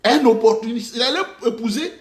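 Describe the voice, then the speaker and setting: A man's voice: very high-pitched, a little fast. A middle-aged man from Côte d'Ivoire with an Ivorian French accent, delivering a mocking, rhetorical political monologue with sudden outbursts. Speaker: political commentator. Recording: echoey room.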